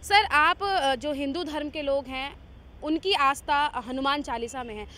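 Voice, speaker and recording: Bright, very high-voiced woman interviewer. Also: a little slow, slight background noise. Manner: polite, frequent brief acknowledgements. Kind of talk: news interview questioning.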